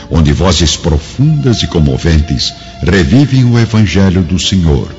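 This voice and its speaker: Very deep, dramatic male radio narrator. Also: a little mellow. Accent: Brazilian. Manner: solemn, formal. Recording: dull lo-fi radio drama, music behind.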